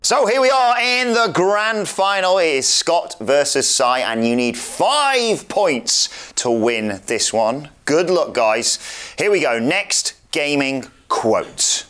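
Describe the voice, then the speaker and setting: A man's voice: a little high, big pitch swings, slow, fairly bright tone, clean tone. A man hosting a game show, reading out quotes in a theatrical, playful manner. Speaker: quiz host.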